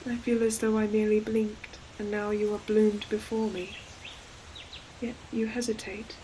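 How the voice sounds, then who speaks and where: A woman voicing an ancient, gentle forest demon in a scripted audio roleplay, speaking tenderly in archaic storybook phrasing. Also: mid-range voice, little pitch movement, moderate pace, lightly acted, rough recording. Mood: gentle, searching. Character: ancient gentle forest demon, hulking moss-covered creature. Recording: clear forest ambience noise behind voice. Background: forest nature sound effects with animals.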